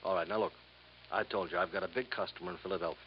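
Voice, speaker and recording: A man's voice: deep voice, fairly even intonation, very fast, natural voice. An actor playing a middle-aged, crooked company boss in a radio drama, wheedling and folksy, with salesman patter full of tag questions. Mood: conspiratorial. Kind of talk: 1950s radio drama acting. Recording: muffled, hissy 1950s radio recording.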